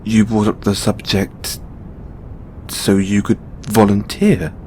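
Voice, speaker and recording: A man's voice: level tone, takes it slowly, darkish tone. A man playing a flustered, tender god boyfriend in an intimate audio roleplay, stammering and repeating his words. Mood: earnest, hesitant. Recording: noticeable low rumbling background noise.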